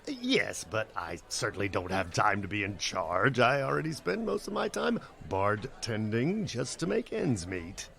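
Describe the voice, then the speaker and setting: An actor playing a flustered, optimistic bard who tends a tavern bar in a comedy radio play. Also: male voice, fairly low pitch, very animated, measured pace, gravelly, hardly any pauses, fairly bright tone, heavily acted. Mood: defensive. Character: flustered, optimistic male tiefling bard and barkeep.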